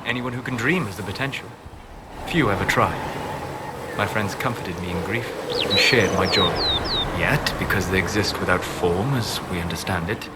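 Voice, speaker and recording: An actor playing a calm, scholarly mage in a video game, speaking in a measured, eloquent way. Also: male voice, on the low side, heavy background noise, lightly acted. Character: calm, scholarly, thoughtful male spirit-dreamer mage.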